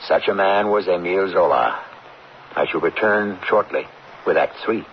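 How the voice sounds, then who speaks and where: Male radio host, low-pitched, portentous scripted narration, theatrical, measured, noisy old broadcast. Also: muffled recording. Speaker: host of a radio drama series.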